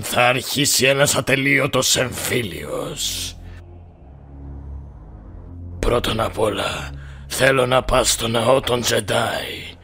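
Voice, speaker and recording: A man voicing a manipulative old Sith master in a film fan dub, croaking and deliberate with commanding menace. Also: medium pitch, bright, forceful tone. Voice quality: gravelly, croaking.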